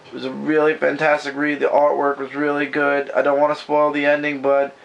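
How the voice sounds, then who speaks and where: A man losing his voice and sounding hoarse, chatting loosely about comics from behind the camera in a bedroom. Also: a little high, monotone, fairly quick, lo-fi sound. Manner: rambling, loose and self-correcting. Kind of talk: casual comic book review chat. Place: bedroom at home. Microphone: camera mic, speaking from behind the camera.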